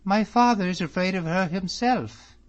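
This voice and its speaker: A man putting on a proud, sharp-tongued young lady. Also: normal speed, natural voice. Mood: teasing. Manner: high falsetto-like put-on voice. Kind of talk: audiobook character voice. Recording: slightly muffled recording.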